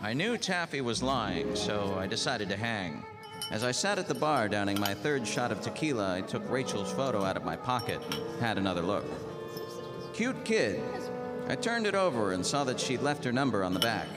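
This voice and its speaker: An actor playing a tough, terse, world-weary police detective narrating a 1940s-style noir radio drama. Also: male voice, fairly low pitch, very animated, normal speed. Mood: wry, world-weary. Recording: radio play over prominent music.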